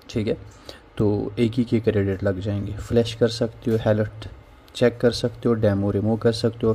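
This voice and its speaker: Guy talking thru a phone unlock tool on a screen recording, lowish mellow voice, keeps saying theek hai. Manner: informal, repeats "theek hai" as a filler. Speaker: phone repair tutorial host. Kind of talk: casual screen-recorded software walkthrough. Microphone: voice-over on screen recording.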